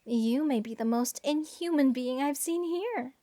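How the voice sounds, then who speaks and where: A giddy, slightly mad female spellcaster, played by a woman in a fantasy ASMR roleplay. Her voice sits high and she takes her time over the words. She rambles and gushes adoringly, with a sweet menace underneath. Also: a little slow, dark tone. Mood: gushing, fascinated. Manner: breathy gasps, gushing, rambling, sweetly menacing. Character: giddy, unhinged female mage who adores cursed monsters.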